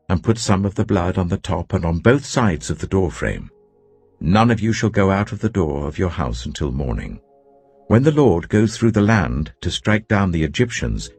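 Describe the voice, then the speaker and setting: A man with an English accent voicing Moses, an elderly leader giving grave, measured orders. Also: low-pitched, darkish tone, slightly rough, heavily acted.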